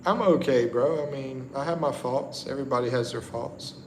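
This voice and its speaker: A middle-aged man from the Carolinas, chatting casually on a livestream, easygoing and halting with lots of ums and restarts. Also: medium pitch. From the Carolinas, USA. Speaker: livestream host. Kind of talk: casual livestream chat, reading viewer comments. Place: indoors at home in the Philippines at night. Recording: echoey room with steady background noise.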